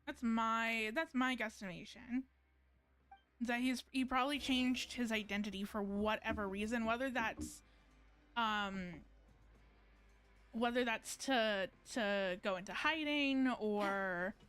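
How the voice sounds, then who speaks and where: A woman's voice: fairly high pitch, slow, pauses often, fairly bright tone, smooth. A woman giving casual live gameplay commentary, musing and thinking aloud in a hesitant way. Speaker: game streamer.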